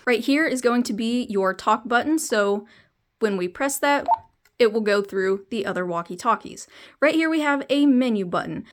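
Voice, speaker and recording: A woman with a slightly high voice narrates a hands-on product review. She speaks as a reviewer addressing viewers, explaining each point step by step.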